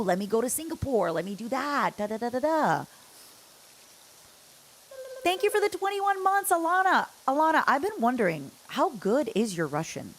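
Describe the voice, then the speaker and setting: A woman livestreamer chatting casually as she games, rambling and playful as she talks to her viewers. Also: a little high. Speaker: livestreamer, host. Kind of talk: casual chat while gaming, answering chat.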